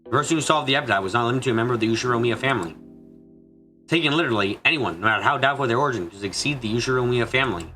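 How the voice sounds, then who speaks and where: Fast-talking man narrating a visual novel. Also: medium pitch, lively intonation, pushed and bright, faint music behind. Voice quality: slightly congested, mushy diction from a cold. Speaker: let's play streamer reading a visual novel. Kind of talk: dramatic narration of a story game.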